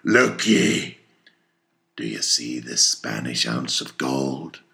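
A man voicing a fierce, obsessive old whaling captain in an audiobook, declaiming his orders with slow, emphatic force. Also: rumbling low, big pitch swings, vocal fry, sharp tone, voice pushed down, heavily acted. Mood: commanding.

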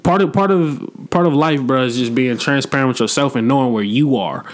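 A man's voice: mid-range voice, a little fast. A man in his twenties hosting a podcast, chatting in a blunt, slangy and reflective way. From Midwest United States. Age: 20s. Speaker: podcast host. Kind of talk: casual podcast chat.

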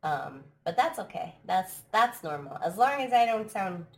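Brief: woman, live stream host, throaty from allergies, chatty, laughs a lot, mid-pitched voice. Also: very animated, normal speed, gravelly. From United States. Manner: chatty, laughs often, many restarts. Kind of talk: casual chat. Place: at home abroad, live stream.

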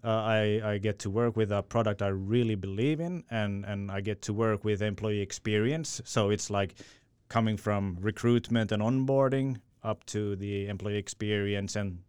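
Smooth-voiced man. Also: on the low side, steady tone, normal speed, a little mellow, no echo. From Finland. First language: Swedish. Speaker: sales director. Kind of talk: podcast interview answer.

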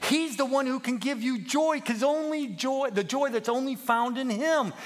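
A man voicing an earnest, emotional little lamb who pleads in a high, strained, preachy way, as in a children's story. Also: moderate pace, a bit bright. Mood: excited urging. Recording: long echoing hall reverb.

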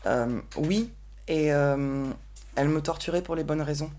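A woman's voice: low-pitched, unhurried, warm tone. A woman talking casually and personally as a podcast guest. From grew up between Bordeaux, France and New York.

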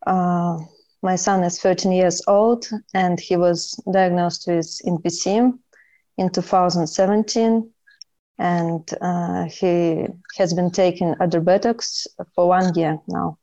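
A woman with a Russian accent speaks slowly in simple, plain phrases. Her voice is fairly low and the sound is dull and lo-fi. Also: fairly even intonation, mellow. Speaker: parent panelist. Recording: dull lo-fi audio.

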